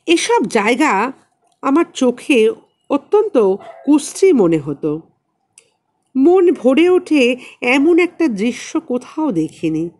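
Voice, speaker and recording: A woman narrating a literary story in an expressive, dramatic reading style. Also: sits high, takes it slowly, pauses often. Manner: dramatic, acts out dialogue. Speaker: audiobook narrator.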